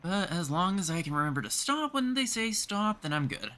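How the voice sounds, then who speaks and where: A man giving casual game stream commentary, thinking out loud as he goes. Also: sits high, sing-song, fairly quick. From Canada. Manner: mutters instructions aloud while reading, thinking out loud. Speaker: livestreamer.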